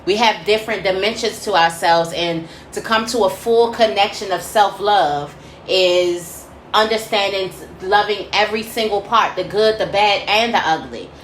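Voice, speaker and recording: A woman's voice: medium pitch, normal speed. A woman tarot reader speaking straight to her listeners in an informal, slangy spiritual advice monologue, often checking in with short tag questions. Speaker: tarot reader giving a reading for Libra. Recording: roomy echo with faint background noise.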